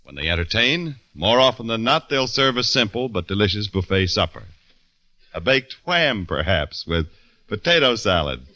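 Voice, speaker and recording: A man announcing on old-time radio, reading sponsor copy and credits in a smooth, formal delivery. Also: on the low side, sharp tone, lo-fi sound. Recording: old radio broadcast with music.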